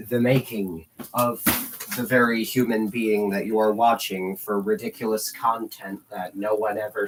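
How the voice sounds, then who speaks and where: A man's voice: on the low side, slight background noise, low-quality recording. A teenage boy chatting on a livestream, rambling and self-mocking with jokey asides. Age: teens.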